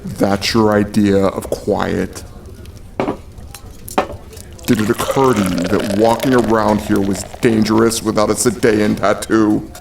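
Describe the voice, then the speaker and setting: Gravelly, gruff big protector. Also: male voice, on the low side, fairly even intonation, takes it slowly, a little hiss or hum. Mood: gruff, scolding. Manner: blunt, scolding, commanding. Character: gruff, big, streetwise male protector of a younger man. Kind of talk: audio drama dialogue.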